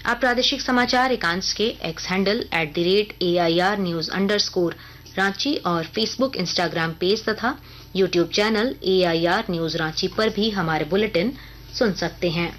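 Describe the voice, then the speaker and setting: A woman news reader delivering a scripted regional radio bulletin in a formal, measured announcing manner. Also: fairly low pitch, a bit bright, slight background noise, poor audio.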